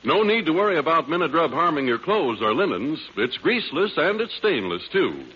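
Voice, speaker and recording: A man's voice: fairly high pitch, very animated, moderate pace. A male announcer reading a 1940s radio advertisement with a polished, emphatic sales delivery. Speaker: commercial announcer. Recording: muffled, hissy vintage radio recording.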